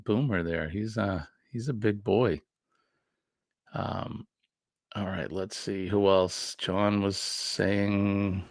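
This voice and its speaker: A man's voice: fairly low pitch, creaky, no echo, clean studio sound. A man hosting a casual morning livestream, greeting viewers in an easygoing, joking way with many fillers. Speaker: livestream host.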